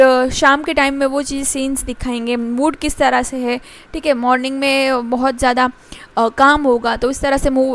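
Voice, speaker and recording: A woman teaching a commerce class in Hindi, reading definitions slowly and pausing often with fillers. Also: sits high. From India. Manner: explains in Hindi, reads definitions slowly in English, frequent fillers and ठीक है. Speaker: commerce lecturer. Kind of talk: classroom lecture.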